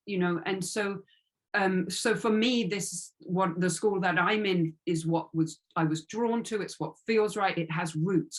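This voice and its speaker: Low-voiced woman speaking reflectively in an interview. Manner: halting, searching, repeats words. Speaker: interviewed author.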